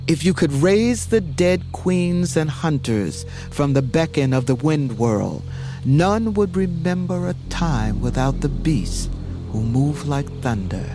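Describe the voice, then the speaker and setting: Male narrator for scripted fantasy audio fiction: solemn, dramatic, unhurried delivery, clear music bed. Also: fairly high pitch, expressive. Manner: measured, solemn storytelling.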